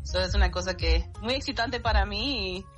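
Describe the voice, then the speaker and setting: Salsa singer, woman, chatty and warm-paced, English fillers, light music under the voice. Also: medium pitch, normal speed. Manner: chatty, fillers, mixes English words into Spanish. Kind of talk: casual interview answers.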